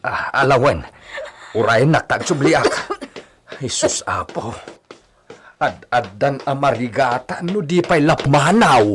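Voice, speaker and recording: A man playing a concerned, plain-spoken village manservant in a radio drama, husky and conversational. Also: medium pitch, expressive, measured pace, pauses often, lightly acted.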